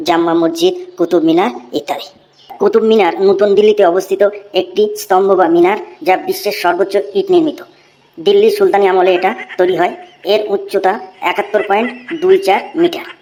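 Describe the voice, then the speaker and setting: A woman's voice: lowish voice, darkish tone, lightly acted. A woman voicing a cheeky schoolboy who recites a long essay by rote in a cartoon. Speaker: narrator and channel host. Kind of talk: cartoon voice acting.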